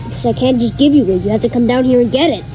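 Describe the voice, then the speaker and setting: A woman's voice: fairly high pitch, racing, dark tone, faint music behind, close to an everyday voice, rough recording. A woman voicing a sinister, impatient clown monster who coaxes from a sewer in a comedy parody skit. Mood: coaxing, impatient.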